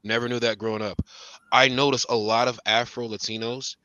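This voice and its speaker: Bright-voiced man in casual talk. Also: fairly quick, dry recording, low-quality recording. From Chicago, raised in Indiana. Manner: animated, rises in pitch when making a point; talks in fragments with restarts. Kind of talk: casual conversation.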